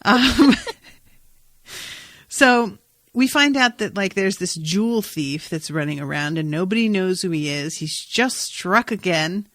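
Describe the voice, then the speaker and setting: Woman, slightly low voice, podcast host, casual recap, animated and amused, very dry sound. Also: takes it slowly, no room sound. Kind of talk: casual episode recap chat.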